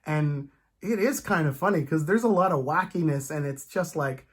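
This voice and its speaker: A podcast host chatting casually as a fan, in an animated, rambling, stop-start way with playful asides. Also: medium pitch, moderate pace, slightly rough. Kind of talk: casual comic book fan chat.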